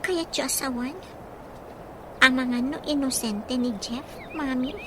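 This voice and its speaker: A woman's voice: sits high, a little slow. A woman acting an anxious young female in an Ilocano radio drama, with an Ilocano accent. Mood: questioning. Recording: noisy radio drama recording, ambient sound effects.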